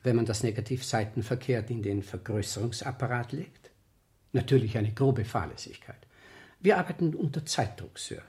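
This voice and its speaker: A man plays the boss of a photo studio in a 1970s radio play. His medium-pitched voice is deferential and explanatory, with a flustered, brusque edge. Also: moderate pace, darkish tone, lightly acted.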